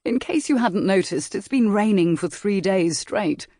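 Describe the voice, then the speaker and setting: A woman reading the lines of a gruff, sardonic young gentleman in an audiobook. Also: high voice, animated, measured pace, no echo, clean studio sound, full character voice. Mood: scolding. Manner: gruff, brusque.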